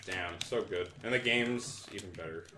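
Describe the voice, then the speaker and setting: Young man, bright and lively voice, chatty gamer banter, roomy echo. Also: low-pitched, normal speed. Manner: animated, laughs easily, chatty. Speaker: podcast host and player. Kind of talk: casual gaming banter.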